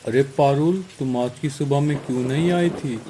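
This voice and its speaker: A man plays a neighbourly cartoon crow with a medium-pitched, mellow voice. He talks in a measured, brotherly way that hides self-interest, over noisy rain. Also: lightly acted. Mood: concerned. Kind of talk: dramatized Hindi children's cartoon story.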